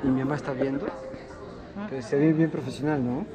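A man's voice: medium pitch, fairly even intonation, brisk, dull audio, a crowd in the background. A young man, chatting and teasing as he films a casual vlog tour on busy city streets. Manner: chatty, teasing, laughs often. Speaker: vlogger.

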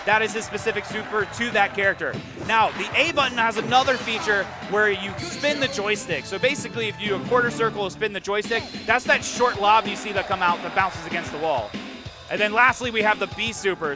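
Man, high voice, live esports commentary, excitable, explains as he goes, music in the background. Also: a little fast. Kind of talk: live fighting-sports video game commentary. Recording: music behind, live event stream. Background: game music.

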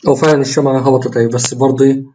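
Egyptian man, tired hoarse voice, quick and rambling, faint background hiss. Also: medium pitch, little pitch movement, warm tone, highs cut off. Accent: Egyptian Arabic. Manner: rambling, self-correcting, explains while trading live. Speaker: trading tutorial host. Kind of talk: screen-recorded trading walkthrough.

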